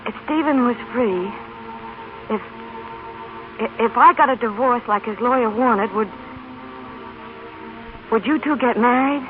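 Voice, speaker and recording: An actress playing an awkward, self-sacrificing working-class mother in a vintage radio drama, speaking haltingly with stammers and homespun grammar. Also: on the high side, over music, lightly acted. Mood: nervous, hesitant. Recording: tinny band-limited old radio recording.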